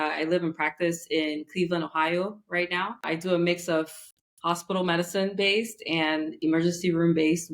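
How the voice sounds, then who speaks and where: Woman physician from Cameroon, podcast guest, frank and relaxed, slightly low voice. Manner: frank and relaxed, laughs easily. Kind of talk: casual chat.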